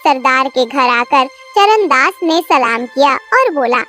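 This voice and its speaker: Casting: cartoon storyteller, extremely high and lively delivery, scripted narration, children's music underneath. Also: slow. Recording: children's music and jingles behind the voice.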